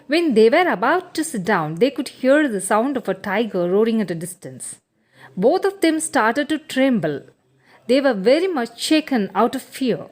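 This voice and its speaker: A woman narrating a scripted bedtime story for children in an expressive, teacherly way. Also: a little high.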